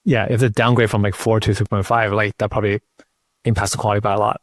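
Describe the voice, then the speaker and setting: A man's voice: on the low side, flat delivery, fast, no echo, studio quality. A man hosting an interview casually, thinking aloud and piling up informal questions. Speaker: podcast host, interviewer.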